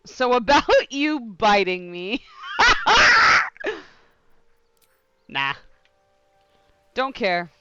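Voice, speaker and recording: A woman streaming a game, casually reacting and reading choices aloud in an expressive, irreverent, giggly way. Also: on the high side, drawn-out words, pauses often, clean tone, dry recording, muffled recording, soft background music.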